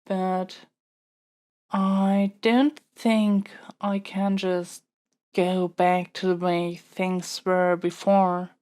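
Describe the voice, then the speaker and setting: A woman's voice: fairly low pitch, flat delivery, a little mellow. A woman playing an earnest, flustered young princess in an intimate audio roleplay, stammering and hesitating as she pleads. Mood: pleading. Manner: halting, hesitant, stammering delivery. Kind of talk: audio roleplay acting, intimate monologue to the listener.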